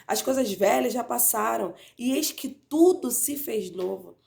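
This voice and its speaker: A woman leads a Bible lesson with a stuffy, nasal voice, hoarse from a cold and carrying a gravelly rasp. She talks conversationally and keeps checking in with her listeners by tagging "né?" onto her sentences. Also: on the high side, lively intonation, measured pace, a little mellow. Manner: conversational, frequently adds "né?" tag questions. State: congested and slightly hoarse from a cold. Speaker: Bible teacher. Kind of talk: Bible class teaching.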